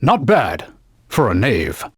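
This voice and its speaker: Bossy talking sword from a video game, pompous knightly scold, kinda husky, pitch swoops all over. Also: male voice, huge pitch swings, slow, dry recording, studio quality, heavily acted. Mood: haughty, grudging. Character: haughty talking sword, stern male knightly mentor. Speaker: video game companion guide. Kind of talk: video game voice acting, short combat callouts.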